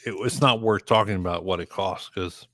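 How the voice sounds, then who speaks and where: A man's voice: low-pitched, normal speed, fairly bright tone, no echo. Drunk, slangy man rambling in casual banter. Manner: repetitive, heavy swearing, slangy.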